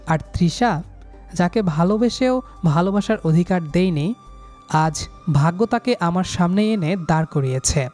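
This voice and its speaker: A man's voice: sits high, expressive, a little slow, a little mellow, faint music behind. A young man narrating a scripted first-person love story in a dramatic, sentimental manner.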